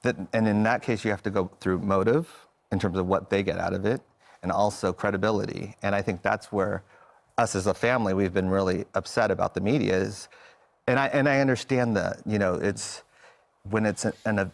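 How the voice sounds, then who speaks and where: An American man in his forties speaking as a television interview guest, earnest and somewhat halting as he explains himself. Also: fairly low pitch, fairly even intonation, vocal fry. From United States.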